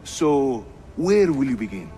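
A jovial Turkish rogue of an assassin, playful and very animated in pitch, with a Turkish accent. It is video game drama with light music behind. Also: male voice, measured pace, rough recording. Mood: curious, friendly. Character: jovial, roguish Turkish assassin, a teasing mentor. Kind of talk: cinematic video game acting.

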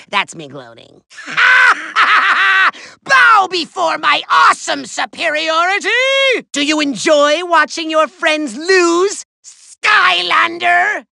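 A man voicing a short-tempered megalomaniac villain in a giant robot body for a video game, shrill, raspy and nasal, pompous, bombastic and gloating. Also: bright, forceful tone, no echo, clean studio sound, full character voice. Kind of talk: theatrical cartoon villain acting.

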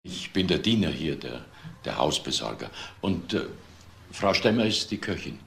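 A hesitant manservant in a crime radio drama. The voice is deep and crackles with fry, explaining deferentially over a faint bed of noise. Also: male voice, very animated, normal speed, fairly bright tone, heavily acted. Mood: hesitant, explanatory. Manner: hesitant, repeats words, explanatory. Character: male household servant and caretaker, deferential, hesitant.

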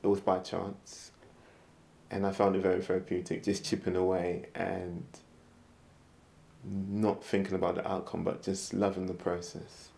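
Low, gravelly man, calm. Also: measured pace. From London. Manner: calm, reflective, soft-spoken. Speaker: woodturner, interviewee. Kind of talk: interview. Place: woodturning studio workshop.

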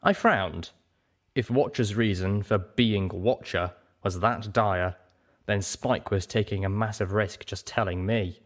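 A man's voice: on the low side, measured pace. A man reading scripted first-person fiction as an audiobook narrator.

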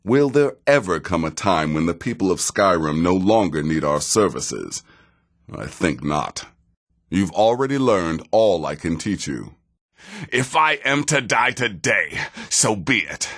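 An actor playing a seasoned, sardonic assassin in a video game, speaking in a measured, dry way with wry menace. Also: male voice, deep voice, sing-song, fairly bright tone, husky, full character voice. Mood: urgent, grim. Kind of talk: video game character dialogue.

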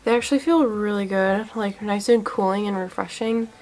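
A woman's voice: mid-range voice, moderate pace, darkish tone. Congested young woman chatting about skincare. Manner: chatty, filler-heavy, eager. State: congested from a cold. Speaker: beauty vlogger. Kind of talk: casual product review. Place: small room indoors.

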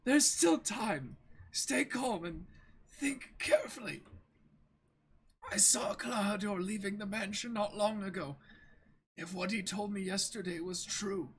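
A man's voice: high voice, slight background noise, rough recording. A man voicing a wounded, loyal servant, grave and earnest, in an amateur manga reading.

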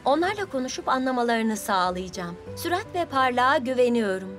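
Brief: woman plays a gentle, firm cartoon teacher vehicle, high voice, music underneath. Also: very animated, a little fast. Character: kind patient female teacher vehicle. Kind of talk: cartoon dubbing.

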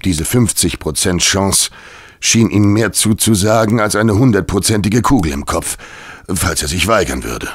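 An actor in a German audio drama playing a weary, dying London cabman who confesses with halting, grave bitterness. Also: low-pitched, lively intonation, breathy edge, dry recording. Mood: grave confession.

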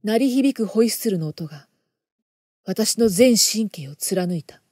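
A woman performing a first-person horror story narration in a theatrical, intense manner, moving between hushed and emphatic delivery. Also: medium pitch, lively intonation, a little slow.

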